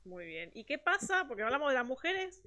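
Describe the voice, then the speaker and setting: A woman's voice: high-pitched, sing-song, fairly quick, bright, forceful tone, muffled recording. A woman hosting an interview, asking short, direct questions in a friendly way. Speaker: interviewer, host. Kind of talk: conversational interview.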